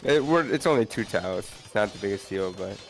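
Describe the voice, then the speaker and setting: A man's voice: fairly low pitch, expressive, brisk, faint music behind. A man giving casual, analytical live commentary on a video game match as co-caster. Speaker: esports co-commentator.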